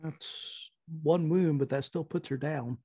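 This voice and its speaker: Male tabletop player, slightly higher pitch, casual chat, muffled lo-fi sound. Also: takes it slowly, mellow, slightly rough, dry recording.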